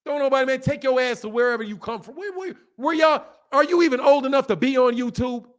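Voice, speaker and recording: A man's voice: very high-pitched, fast. A man in his fifties hosting a boxing channel, scolding and insulting a caller in a heated live argument. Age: 50s. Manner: insulting, scolding, repeats himself.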